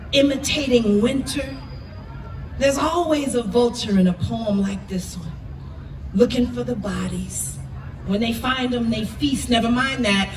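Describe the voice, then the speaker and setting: High-voiced woman poet, echoey live venue. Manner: emphatic, deliberate, with repeated phrases. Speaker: spoken word poet. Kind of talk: dramatic spoken-word poetry performed live. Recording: echoey, noisy live venue, distant mic.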